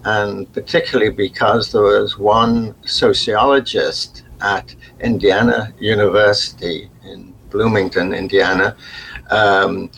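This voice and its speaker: Slow, gravelly older man. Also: lowish voice, fairly even intonation, a bit bright, slight background noise, poor audio. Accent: faint Liverpool, mostly softened. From England. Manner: slow and halting, with restarts and fillers. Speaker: guest. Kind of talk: reflective interview talk.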